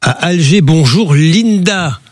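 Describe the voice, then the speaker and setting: A man's voice: a little high, expressive, slow, no echo. A man hosting a live radio phone-in, conversational, with hesitant, trailing phrasing. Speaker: radio talk show host.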